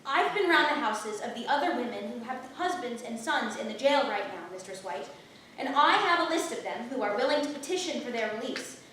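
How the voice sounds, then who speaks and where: Stage play: actress as flustered, blunt townswoman with fiery conviction, high-pitched, recorded far off in a very echoey hall. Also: lively intonation, fairly quick, a bit bright. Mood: earnest, determined. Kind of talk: staged reading of a historical play. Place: theatre stage, recorded from the audience. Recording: echoey hall, distant mic, faint noise.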